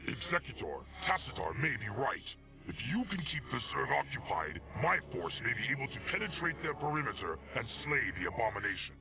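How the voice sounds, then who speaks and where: A valiant old alien warrior from a sci-fi game, bold and formal. His voice is gravelly and rises and falls dramatically over very loud music. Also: mid-range voice, very animated, brisk, rough recording. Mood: determined. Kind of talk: scripted sci-fi video game dialogue.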